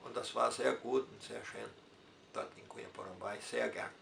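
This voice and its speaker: Older male pastor, Hunsrückisch German accent, gravelly voice, reverberant room. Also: on the low side, fairly even intonation, a bit bright, a little hiss or hum. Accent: Hunsrückisch German of southern Brazil. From southern Brazil. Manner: unhurried storytelling with dialect forms and hesitations. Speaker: retired pastor interviewee. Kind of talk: personal life story in interview.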